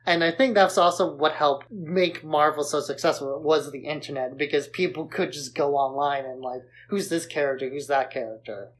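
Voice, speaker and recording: A man's voice: a little high, steady tone, moderate pace, hardly any pauses, a bit bright. A man co-hosting a podcast, talking casually about films. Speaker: podcast co-host. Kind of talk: casual film discussion.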